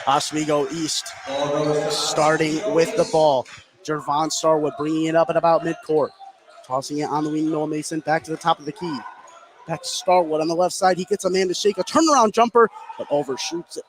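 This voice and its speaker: A man calling live radio basketball play-by-play from courtside in a high school gym. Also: steady tone, a little fast. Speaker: play-by-play sports commentator. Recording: clearly noisy gym ambience. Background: clear gym noise.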